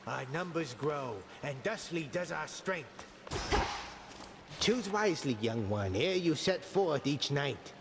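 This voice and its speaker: A man's voice: on the high side, lively intonation, takes it slowly. A man playing a theatrical mentor spirit in a video game, archaic and grandiose with a playful streak. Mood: grand, playful. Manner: archaic, grandiose, then flippant. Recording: long reverb, noisy game ambience.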